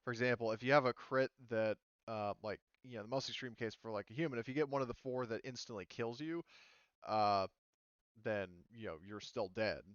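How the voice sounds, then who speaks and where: A man's voice: mid-range voice, fairly quick, a bit bright, clear, resonant tone, dry recording, highs cut off. A man casually explaining tabletop game rules as game master, thinking aloud and hedging as he goes.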